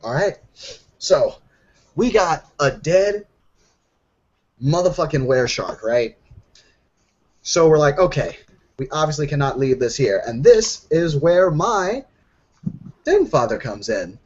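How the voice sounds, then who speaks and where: A man's voice: fairly high pitch, slow, pauses often, breathy edge. Slangy man telling a story, lo-fi call. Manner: heavy swearing, slangy, animated recounting. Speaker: tabletop roleplay player. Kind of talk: casual in-character storytelling. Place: online group voice call. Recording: dull lo-fi voice call.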